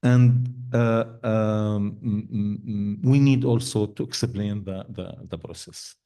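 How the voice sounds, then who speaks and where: Halting man with non-native English. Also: fairly low pitch, fairly even intonation, dark tone. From Syria. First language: Arabic. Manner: halting, many restarts and fillers, non-native grammar. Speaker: panelist. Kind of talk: panel discussion answer. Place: event hall.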